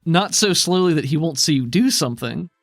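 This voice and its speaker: A game master guides a tabletop role-playing session in an easy, conversational style. The voice sits at a medium pitch, recorded close and dry, with faint music playing behind it. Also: expressive, a little fast, dry recording. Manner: casual, conversational.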